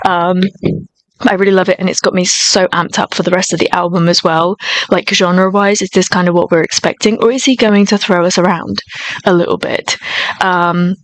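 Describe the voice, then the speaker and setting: A woman with a high voice chatting casually and gushing enthusiastically while reacting to a music video. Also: steady tone, moderate pace, dry recording, rough recording.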